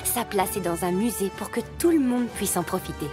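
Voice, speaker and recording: A woman voicing a friendly scientist and explorer in a children's cartoon, animated, encouraging and explanatory. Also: medium pitch, fairly quick, music in the background, lightly acted. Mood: admiring, explaining.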